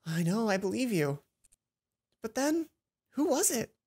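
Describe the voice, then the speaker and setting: A woman voicing a caring young female roommate in a game, gentle and girlish. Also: fairly high pitch, sing-song, measured pace, slightly rough, voice pushed up, heavily acted. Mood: thoughtful, gentle. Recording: music behind the voice.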